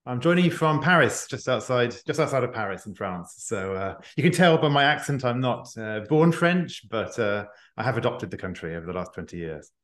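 A middle-aged man talks in a conversational, reflective way as a podcast guest. His medium-pitched voice comes over a remote call from an echoey room. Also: sing-song, a little fast, hardly any pauses, fairly bright tone. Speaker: podcast guest, executive coach and author. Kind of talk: conversational interview.

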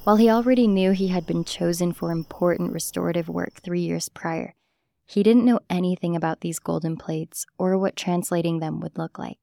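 Low, mellow woman narrating. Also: creaky, dry recording, clean studio sound. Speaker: podcast host. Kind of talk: scripted podcast narration.

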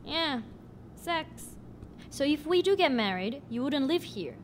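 Casting: blunt young woman, high-pitched, no-nonsense, naturalistic radio drama, slight noise behind. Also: sing-song, a little slow. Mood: brisk, impatient. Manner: blunt, matter-of-fact. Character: curt, businesslike young immigrant woman arranging a sham marriage. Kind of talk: naturalistic audio drama acting.

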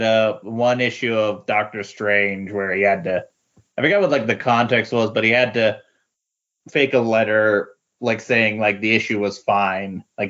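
A man's voice: lowish voice, fairly bright tone. A man chatting casually as a podcast co-commentator, often hesitating as he searches for his words. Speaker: podcast co-commentator on comic books. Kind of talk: casual chat. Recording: slightly dull, lo-fi audio.

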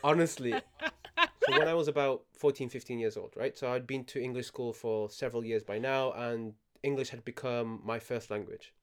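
A man's voice: medium pitch, normal speed, a bit bright. A young man of Libyan background, chatting casually and telling stories, acting out his own inner voice. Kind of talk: casual chat.

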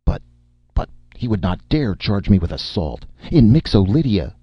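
A man's voice: on the low side, very animated, normal speed, husky, dry recording, lo-fi sound, pitched above the performer's own voice. A man voicing a bullying, heavy-set male film director in a story, with stilted phrasing and a threatening, domineering manner. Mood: haughty.

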